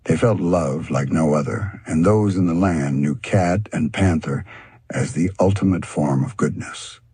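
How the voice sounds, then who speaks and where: A man narrates a storybook audiobook. His voice is deep and extremely husky, recorded dry with hardly any room sound. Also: a little slow, warm tone, no room sound.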